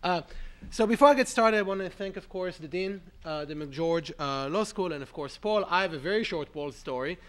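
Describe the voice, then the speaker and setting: A man with an Israeli accent giving a conference talk as a law professor, with a nasal voice and congested from a cold, talking in an animated way and often tagging his points with "right?". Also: high voice, normal speed. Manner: animated, frequent "right?" asides, self-deprecating.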